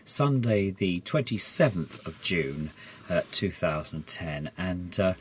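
A hoarse middle-aged man with a head cold chats casually in his garage workshop. His voice sits very low and he rambles, restarting sentences and filling gaps with ums and uhs. He is close to the camera mic. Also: lively intonation, unhurried, a little hiss or hum. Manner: rambling, many fillers and restarts. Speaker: electronics hobbyist vlogger. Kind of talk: casual repair vlog. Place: home garage workshop, indoors.

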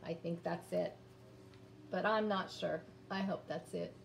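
A woman in her fifties leads a children's phonics lesson and read-aloud. She speaks very slowly and encouragingly, taking care over each word. She is a little away from the mic in a reverberant room with faint background noise. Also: on the low side, steady tone, gravelly, far from the mic. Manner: deliberate, sounds words out, encouraging. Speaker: early-grades teacher.

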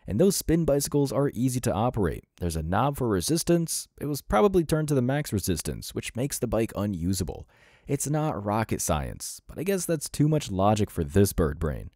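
A man's voice: mid-range voice, very animated, normal speed, mellow, no echo, studio quality. A man delivering scripted reaction commentary as a host, in a mocking, sarcastic and blunt manner.

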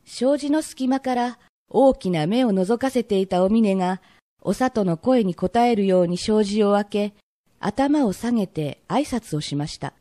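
A woman narrating an old Edo tale for a radio drama in polite, measured storyteller phrasing. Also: medium pitch, animated, mellow, no echo, studio quality, natural voice.

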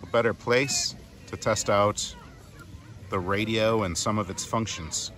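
A man's voice: fairly low pitch, fairly even intonation, a little slow, a bit bright, slight background noise. A man giving a casual, playful hands-on product demo in a chatty, informal way. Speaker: product reviewer.

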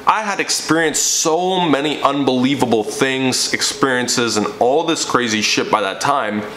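A young man coaching viewers as he tells his own story, his voice sitting at a middle pitch. He speaks with emphasis and gestures as he talks. The room around him echoes, with a faint hum of ambience. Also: a little slow, pushed and bright. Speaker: fitness coach. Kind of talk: personal story to camera. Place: living room indoors. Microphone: camera in front, seated framing.

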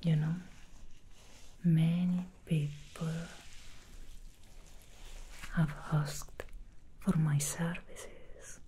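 Woman whispering as a seductive demon hairdresser, low, unhurried, mellow, faint hiss, close mic. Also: flat delivery. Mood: alluring. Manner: slow, teasing and alluring. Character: seductive female demon hair stylist from hell. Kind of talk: ASMR roleplay. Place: indoor studio set. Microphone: close ASMR microphone.

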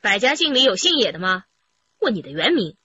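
A forceful, stern policewoman. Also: mid-range voice, very animated, a little fast, slightly rough, dull audio, heavily acted. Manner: pointed, stern. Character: firm, challenging female police interrogator. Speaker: actor in police radio drama. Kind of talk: dramatic radio drama acting.

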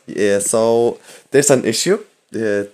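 A man trolling strangers in game voice chat, deadpan and mock-indignant, talking into a headset mic. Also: on the low side, slow. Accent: put-on Russian. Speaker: prank-style player in voice chat.

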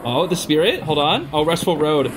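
Casting: male reaction host, high voice with animated swings, excitable and slangy, casual commentary. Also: moderate pace, noisy background. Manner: excitable, giggly, exclaiming.

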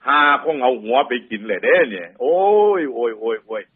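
A man's voice: on the low side, lively intonation, fairly quick, breathy edge, narrow, tinny audio, voice pushed down. Man voicing a panicky stammering Isan tiger. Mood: lamenting. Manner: stammering, wailing, pleading. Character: frightened panicky tiger fleeing an imagined monster.

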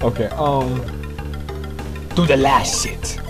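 A young man doing casual gameplay commentary, talking to himself in profane, slangy, excitable bursts. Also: mid-range voice, takes it slowly. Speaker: gamer. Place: at home at night. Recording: music behind the voice. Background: music.

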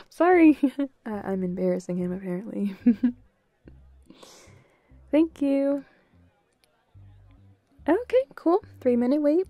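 A woman voicing a bubbly, playful, affectionate young girlfriend in an intimate audio roleplay, with a giggly, breathy, coaxing manner. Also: fairly high pitch, mellow, no room sound. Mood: playful, grateful.

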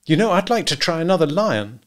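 A man voicing an eager, excitable male safari client with boyish enthusiasm. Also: on the high side, brisk, pitched above the performer's own voice. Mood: elated.

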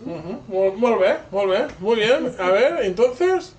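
A chatty Spanish-accented man hosts a cooking video from a home kitchen, asking eager, curious questions. His voice sits fairly high and bounces around a lot. The kitchen sounds roomy and echoey, with a faint hum of ambience underneath. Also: animated, takes it slowly, a little mellow. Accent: Spain. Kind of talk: casual cooking chat. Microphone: 360 camera.

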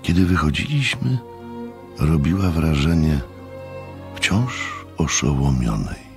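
Radio drama narrator: reflective eyewitness, very deep and creaky, over faint music. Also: male voice, big pitch swings, slow, exaggerated voice. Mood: grave, observant. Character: thoughtful male narrator, sceptical yet impressed witness.

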